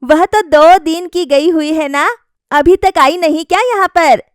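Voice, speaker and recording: A stern older mother-in-law played by a woman storyteller, high in pitch and recorded dry. She nags and questions with open displeasure, in the manner of family storybook drama. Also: a little slow, no echo. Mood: disapproving. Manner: scolding, questioning. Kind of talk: acted dialogue in a Hindi family story.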